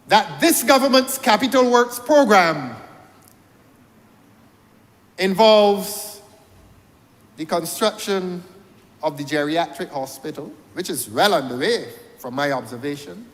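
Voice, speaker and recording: A Barbadian man with a high voice delivers a parliamentary speech. He is rhetorical and repeats his phrases for emphasis. His voice rings with the long reverb of a large chamber. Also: animated, slow pace, pauses often, fairly bright tone. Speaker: member of parliament.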